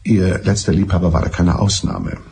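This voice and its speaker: An actor in a German radio drama playing a mild, evasive, smugly clever betrayed husband who talks in a deliberate, circuitous way. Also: male voice, low voice, steady tone, darkish tone, slightly rough, exaggerated voice. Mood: resigned, earnest.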